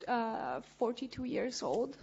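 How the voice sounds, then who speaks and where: A woman's voice: on the high side, big pitch swings, slow pace, gravelly, a little mellow, slightly rough, lo-fi sound. A woman interpreting into English on a panel, hesitant and full of fillers.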